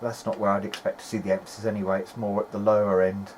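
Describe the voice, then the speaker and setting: A man's voice: on the low side, flat delivery, fast, darkish tone. A man giving an informal, hesitant video-diary narration as he tests equipment, thinking aloud in a noisy amateur recording. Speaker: hobbyist electronics repairer.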